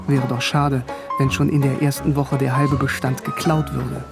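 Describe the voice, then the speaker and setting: A man's voice: mid-range voice, little pitch movement, moderate pace, vocal fry. A man giving wry, reflective first-person literary narration. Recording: music under voice.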